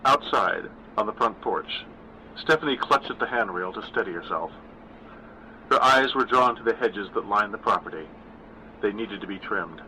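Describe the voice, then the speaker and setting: Low, bright-voiced older male narrator. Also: animated, a little hiss or hum, rough recording. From California. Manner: measured, self-deprecating. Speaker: author and narrator. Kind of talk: scripted horror narration and casual backstage talk.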